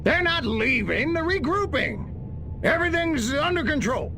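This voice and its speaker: An actor playing a stubborn, blustering army general in a video game cutscene, defensive and defiant. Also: male voice, extremely high pitch, very animated, normal speed, bright, forceful tone, slight background noise, full character voice.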